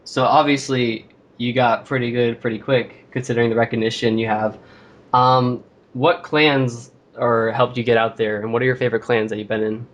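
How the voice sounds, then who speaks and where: A man's voice: mid-range voice, steady tone, normal speed, a bit bright, muffled recording. A teenage boy hosting a casual interview, asking friendly questions with frequent "um"s.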